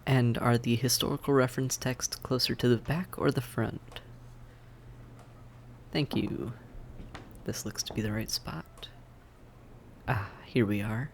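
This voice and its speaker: Man playing a suave, gravelly vampire. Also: mid-range voice, fairly even intonation, slight background noise. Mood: polite, cheerful. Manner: courteous, measured, softly teasing, formal phrasing. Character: suave male vampire, polite, patient, quietly menacing and teasing. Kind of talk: scripted audio roleplay acting, addressed to the listener.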